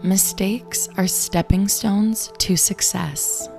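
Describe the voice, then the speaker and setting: A young woman with a raspy voice, hosting a show and reading affirmations slowly and soothingly. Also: mid-range voice, fairly even intonation. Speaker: affirmation and meditation host. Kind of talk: guided affirmations. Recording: clear music bed behind voice.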